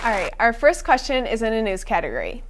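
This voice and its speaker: A woman's voice: fairly high pitch, very animated, fast talker, some chatter behind, slight background noise. A young woman hosting a college studio quiz show, upbeat and a little unpolished, with fillers and restarts. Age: young adult. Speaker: game show host.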